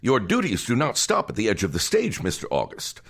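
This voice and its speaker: A man playing an older, stern and condescending theater financier with a gravelly voice and formal manner in an audio drama. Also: lowish voice, wildly swooping pitch, a little fast, fairly bright tone, heavily acted. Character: stern, condescending male theater financier issuing cold ultimatums.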